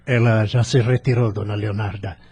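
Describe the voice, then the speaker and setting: Actor as calm kindly doctor, very husky, lowish pitch, audio drama delivery. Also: male voice, moderate pace, muffled recording. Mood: calm reassurance. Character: kindly, composed male hospital doctor.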